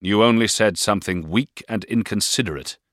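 A man's voice: on the low side, brisk, a bit bright, dry recording, clean studio sound, pitched above the performer's own voice, natural voice. A man voicing a stern, domineering middle-aged stepfather who speaks in a grave, clipped, commanding way in an audiobook reading of a classic novel.

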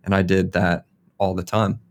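American male scientist, 30s, very deep voice, laid-back guest with filler-heavy speech. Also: flat delivery, moderate pace. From United States. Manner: casual, uses many fillers like "like" and "kind of". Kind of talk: relaxed interview answers.

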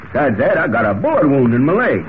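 A man's voice: mid-range voice, very animated, fast talker, lightly acted. A man playing a folksy, talkative cowboy in an old radio serial, with a drawling American Western accent. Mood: folksy storytelling. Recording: muffled old radio recording with hiss.

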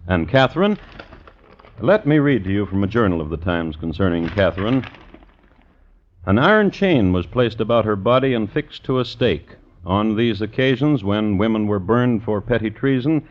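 An actor playing a dry, macabre gentleman crime historian who narrates a 1950s radio show in a scholarly, deadpan way. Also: lowish voice, natural voice. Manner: measured, wry, deadpan, scholarly. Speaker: narrator and host. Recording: dull vintage radio recording.

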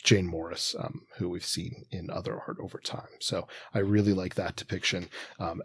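Man, husky voice, slightly low pitch, halting phrasing, casual book discussion, podcast co-host. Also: darkish tone, no room sound.

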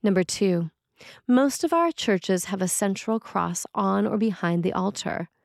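A woman priest reading a scripted sermon in a measured, deliberate and solemn manner. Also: medium pitch, expressive, vocal fry, no echo, clean studio sound. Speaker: Episcopal priest reading a sermon written by a colleague. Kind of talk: read sermon, scripted narration.

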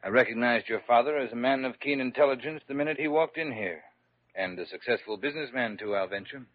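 A man playing a smooth-talking quack doctor. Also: mid-range voice, fast talker, no room sound, close to an everyday voice. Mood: oily. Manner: ingratiating, salesman-like flattery. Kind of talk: 1940s radio acting. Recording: dull old radio broadcast.

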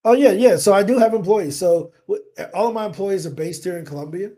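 A man in his forties from the United States, a business owner telling stories on a podcast in an energetic, casual way, acting out his own past thoughts. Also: sits high, brisk. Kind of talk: casual interview talk. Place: home in Colombia.

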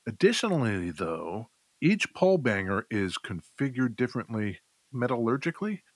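A man's voice: medium pitch, big pitch swings, normal speed, dry recording. A man co-hosting a casual gear chat, explaining things informally with some light joking. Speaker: podcast co-host reviewing guitar gear.

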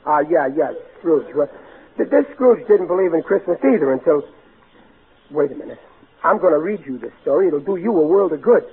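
An actor playing a blustering, soft-hearted working-class American family man, folksy and bumbling, in an old-time radio comedy. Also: on the high side, expressive, a little fast. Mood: earnest, coaxing. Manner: folksy, bumbling, exasperated, ungrammatical. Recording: tinny, noisy vintage radio recording.

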